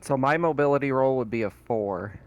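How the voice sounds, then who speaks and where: A man's voice: medium pitch, normal speed, a little hiss or hum. A man talking casually as a player in a tabletop roleplaying game.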